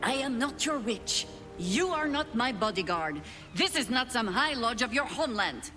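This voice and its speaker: An actress playing a stern, world-weary older female druid in a video game, with a dry, measured, sardonic manner. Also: fairly high pitch, expressive, music in the background, close to an everyday voice. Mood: stern, exasperated. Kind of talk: theatrical video game dialogue.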